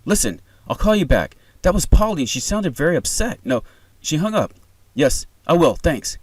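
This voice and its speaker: A man's voice: fairly high pitch, big pitch swings, moderate pace, slightly rough, dry recording, pitched above the performer's own voice. A man reading the part of an anxious, urgent wife and mother on the phone in a screenplay. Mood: agitated. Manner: urgent, insistent.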